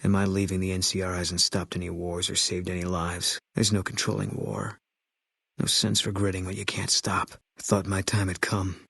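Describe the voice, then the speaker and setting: A haunted ex-soldier sniper from a video game, speaking in a deep, husky voice. He is terse and stoic, with a grim fatalism in his delivery. The sound is dry and close. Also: monotone, moderate pace, fairly bright tone, no room sound, full character voice. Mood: haunted, resigned. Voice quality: low, husky. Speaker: video game companion character.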